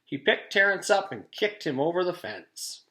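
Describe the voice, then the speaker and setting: A man reading a children's chapter book aloud as a teacher does for students, in an animated storytelling style. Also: high voice, moderate pace.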